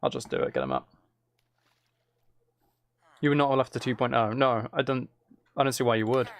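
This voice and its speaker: A man's voice: medium pitch, sing-song, brisk, creaky. A man live streaming, giving casual gameplay commentary and musing aloud as he chats to viewers.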